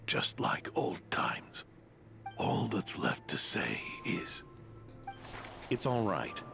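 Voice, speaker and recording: Whispering, gravelly dying knight. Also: male voice, low-pitched, big pitch swings, bright, forceful tone, soft background music, heavily acted. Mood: tender, weak. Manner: slow, halting, affectionate. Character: dying gentle father-figure knight, tender and resigned. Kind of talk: dramatic video game voice acting.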